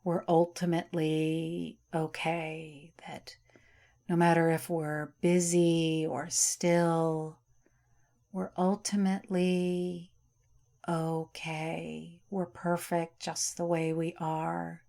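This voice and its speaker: A woman teaching yoga nidra, guiding a relaxation meditation in a soft, soothing way with long pauses. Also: deep voice, level tone, creaky.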